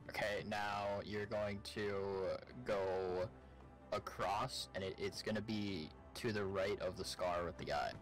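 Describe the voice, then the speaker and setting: Low-voiced man guiding a game partner. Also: steady tone, takes it slowly, soft background music, rough recording. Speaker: gamer, co-op partner giving directions. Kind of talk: casual gameplay chat.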